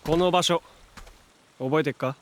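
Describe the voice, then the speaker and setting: An actor playing a brash, warm-hearted young hero in a superhero audio drama, talking casually, cocky but sincere. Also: male voice, on the high side, measured pace, a little hiss or hum, lightly acted. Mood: reflective.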